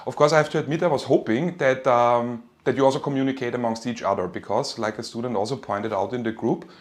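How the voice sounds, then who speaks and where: A man's voice: medium pitch, steady tone, fast. Informal male lecturer. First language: German. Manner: friendly, encouraging, informal address. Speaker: university finance lecturer. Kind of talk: live online lecture, informal.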